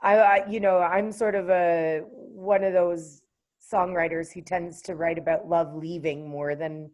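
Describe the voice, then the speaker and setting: Low-voiced woman chatting about her music. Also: steady tone, a little slow, a little mellow. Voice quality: low contralto voice. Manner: hesitant, with many fillers and restarts. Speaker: singer-songwriter guest. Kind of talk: casual interview chat.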